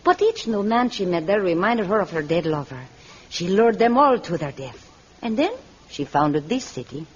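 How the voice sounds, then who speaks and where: A woman playing a confiding jailer of a hidden city in a vintage radio adventure, husky and expressive. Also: on the low side, moderate pace, lightly acted. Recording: dull vintage radio recording.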